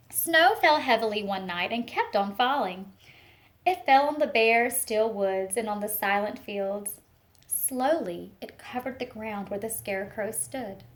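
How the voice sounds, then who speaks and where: A woman reading a picture book aloud to young children, expressive and speaking to them directly with teacher-like questions and asides. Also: medium pitch, unhurried. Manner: expressive storytelling, talks directly to young listeners.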